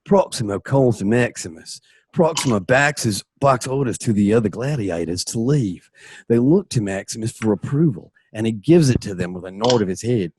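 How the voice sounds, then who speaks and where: A man's voice: on the low side, expressive, normal speed, darkish tone, slight background noise. A man narrating an amateur radio drama, reading out scene changes and stage directions.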